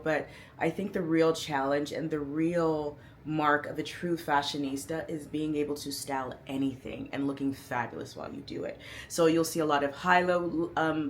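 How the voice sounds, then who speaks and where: A woman in her thirties with a notably low, rich speaking voice, chatting to camera about her outfit. She is upbeat and playful, tossing in slang and hyping herself up. There is a faint hiss of noise behind her. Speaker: fashion and lifestyle vlogger. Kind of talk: casual outfit breakdown to camera.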